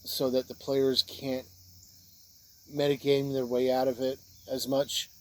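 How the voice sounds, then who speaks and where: A man giving an informal spoken review of role-playing books, plain-spoken and halting, speaking in short phrases. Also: mid-range voice, little pitch movement.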